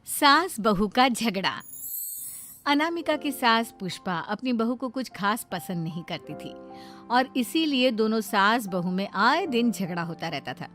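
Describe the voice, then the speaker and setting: High-pitched woman narrating a story. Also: expressive, measured pace, over music. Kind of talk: scripted moral-story narration.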